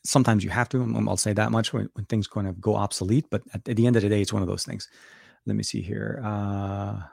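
A man's voice: on the low side, steady tone, fairly quick, vocal fry, a little mellow, breathy edge, no room sound, clean studio sound. A middle-aged man from Lebanon hosting a casual live Q&A, talking with many fillers and restarts and answering viewers' questions. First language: Arabic. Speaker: livestream host.

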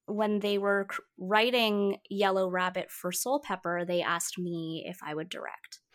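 Theatre-artist interview guest: a woman whose mother tongue is Mandarin, reflective and unhurried, restarting phrases as she thinks. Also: medium pitch, flat delivery. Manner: thoughtful, with frequent fillers and repeated words. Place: Vancouver, Canada.

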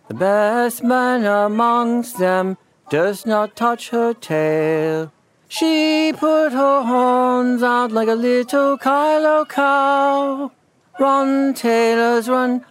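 A man voicing merry, teasing little storybook mice who chant nursery rhymes in a small, sing-song voice. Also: exaggerated voice. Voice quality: small shrill twittering voice.

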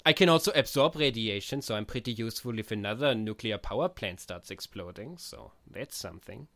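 A man playing a polite male psychiatrist superhero with a floating skull, speaking with an Austrian accent, earnest and halting with many hesitations. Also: on the low side, lively intonation, a bit bright, dry recording.

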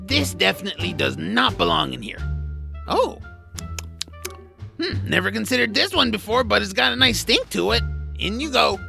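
A woman voicing a cranky, eccentric male troll cook in a family audio drama, with a gravelly voice and an excitable, grumbling, theatrical manner. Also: medium pitch, normal speed, long pauses, faint music behind, heavily acted. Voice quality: gravelly, creaky.

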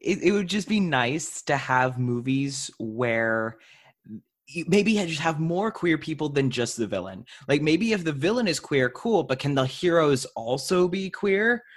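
A man's voice: fairly high pitch, big pitch swings, measured pace. A man hosting a podcast in casual conversation, with an informal, playful way of talking. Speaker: podcast host.

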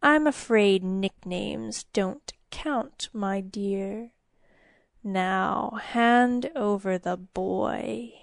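A woman doing a slow, hissing little demon. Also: vocal fry, no echo, studio quality. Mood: menacing. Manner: slow, low and quiet, drawn-out menace. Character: hissing sly male imp demon, menacing.

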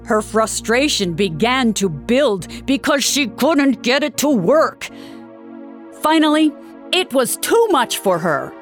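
A woman narrating a scripted audio drama like a storybook, with dramatic, deliberate delivery. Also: on the high side, sing-song. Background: background music.

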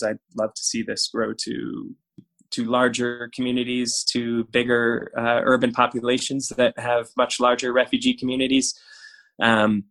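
A man speaking about his community program in a level, barely moving voice. He is hesitant and restarts often, with pauses between his words. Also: medium pitch, fairly bright tone, poor audio. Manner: hesitant, with many fillers and restarts. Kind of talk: interview answers.

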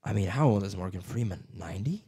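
Laughing young guy, lively voice. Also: on the low side, fast talker, husky. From United States. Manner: laughing, swearing, doing silly accent impressions. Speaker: podcast co-host. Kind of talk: casual chat.

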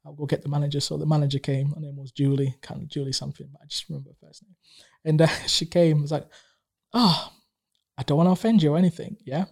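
A man's voice: a little high, steady tone, quick pace, creaky, a little mellow. A man in his thirties who grew up in Tanzania and speaks Swahili natively, chatting casually as a guest, rambling with many fillers and restarts. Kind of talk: casual conversation.

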